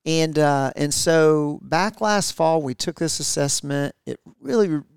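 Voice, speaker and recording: Middle-aged man, gravelly and smooth. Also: on the high side, unhurried, no room sound, studio quality. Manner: reflective, with frequent fillers and restarts. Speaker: podcast co-host. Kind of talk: casual conversation with spouse.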